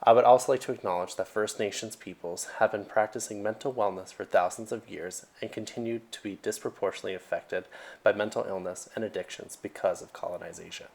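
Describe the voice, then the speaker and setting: Earnest young man, low voice. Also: steady tone, creaky, darkish tone. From Nova Scotia, Canada. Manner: earnest and measured, with occasional fillers. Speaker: social work student presenting a capstone project. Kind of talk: prepared presentation.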